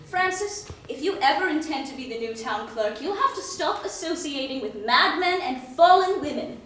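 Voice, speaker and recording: A woman's voice: high voice, lively intonation, moderate pace. A woman playing a domineering, status-obsessed young society fiancée in stage musical theatre, with a grand, bossy, theatrical delivery. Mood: disdainful. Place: staged reading before a live audience. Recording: echoey live stage, distant mic, loud music.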